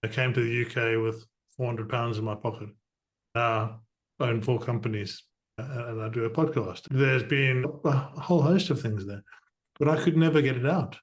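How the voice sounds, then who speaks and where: A middle-aged man from southern Africa who hosts a podcast, explaining things with energy and quick asides. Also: medium pitch. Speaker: podcast host. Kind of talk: conversational interview.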